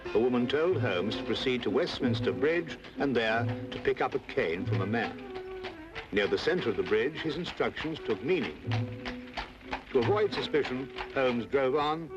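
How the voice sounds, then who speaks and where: A man narrating a detective adventure as a measured storyteller in an old-time radio drama. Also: mid-range voice, close to an everyday voice. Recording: muffled old radio recording.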